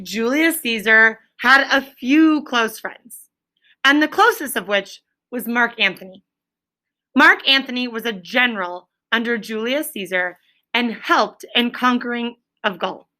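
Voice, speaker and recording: A woman's voice: a little high, bright, forceful tone. A woman teacher reading aloud to her class in an instructive way, stopping to prompt pupils with check-in questions. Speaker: elementary school teacher.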